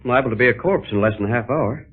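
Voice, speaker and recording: A man's voice: medium pitch, rapid-fire, breathy edge, lightly acted. A man playing a wry, plucky cameraman adventurer with a dry, clipped manner in a 1930s radio serial. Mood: gloomy, wry. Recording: tinny, narrow-band 1930s radio recording.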